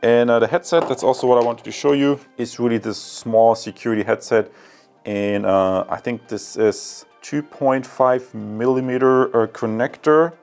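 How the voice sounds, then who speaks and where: A man in his thirties with a German accent, giving a casual, halting product review and unboxing to viewers. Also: mid-range voice, steady tone, darkish tone, soft background music. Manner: hesitant, halting, addresses viewers as guys.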